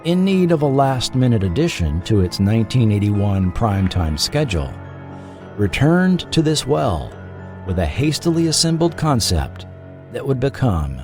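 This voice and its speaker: A man hosting a podcast, reading scripted documentary narration with measured, dramatic phrasing. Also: medium pitch, very animated, a little mellow. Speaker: podcast host. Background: faint background music.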